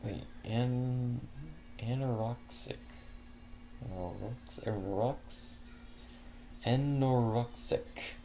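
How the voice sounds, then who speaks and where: A man's voice: mid-range voice, extremely slow, long pauses, warm tone, a little hiss or hum. A man reading a first-person fanfic aloud in a casual way, often stumbling, correcting himself and making chatty asides. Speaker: fanfic reader.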